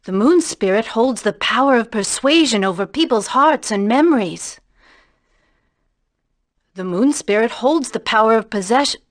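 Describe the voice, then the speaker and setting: A woman's voice: fairly high pitch, expressive, moderate pace, no room sound, close to an everyday voice. A woman playing an earnest young woman in a fantasy adventure game, recorded during studio takes. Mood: solemn. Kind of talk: scripted game dialogue, studio outtakes.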